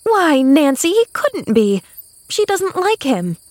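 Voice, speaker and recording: Woman as a high, earnest young girl. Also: expressive, moderate pace, dry recording, studio quality. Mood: incredulous. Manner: earnest, guileless, breathless chatter. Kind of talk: audiobook character voice.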